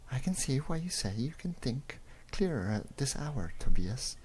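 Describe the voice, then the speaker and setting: A man voicing a gentle, caring nineteenth-century woman in a comic-book story. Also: medium pitch, sing-song, moderate pace, voice pushed up, close to an everyday voice. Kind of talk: comic-book dramatic reading. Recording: noticeable background noise.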